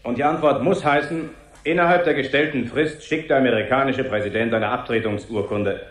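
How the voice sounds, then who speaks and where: A man's voice: mid-range voice, brisk, lightly acted. A man playing a formal, reassuring official spokesman with a bureaucratic manner in a 1970s German radio play. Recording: echoey press-room effect.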